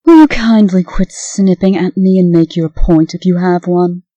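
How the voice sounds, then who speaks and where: Woman playing a mellow, impatient, prim woman. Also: on the low side, normal speed, dry recording, close to an everyday voice. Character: brisk, exasperated female manager, bossy elder sister. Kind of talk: comic dub acting. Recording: dull lo-fi microphone.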